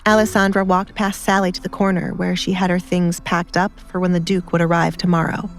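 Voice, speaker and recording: A woman's voice: fairly low pitch, flat delivery, fairly quick. A woman reading scripted story narration as an audiobook narrator. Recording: music behind the voice.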